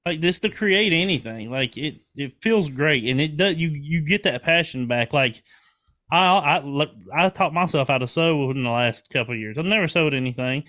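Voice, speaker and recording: Higher-voiced, chatty man in his forties. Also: animated, normal speed, pushed and bright. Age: 40s. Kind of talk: casual chat, swearing.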